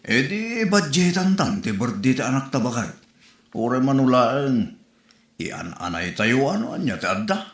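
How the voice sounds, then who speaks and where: A man's voice: mid-range voice, big pitch swings, measured pace. A man playing an easygoing, supportive husband and father in a comedy audio drama. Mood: agreeable. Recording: echoey, roomy sound.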